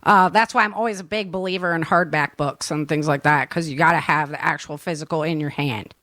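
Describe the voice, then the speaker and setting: A woman's voice: low voice, a little fast, fairly bright tone, no echo. Casual woman hosting, close dry sound. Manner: informal and slangy, conversational. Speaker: show host and producer. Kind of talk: casual live chat show talk.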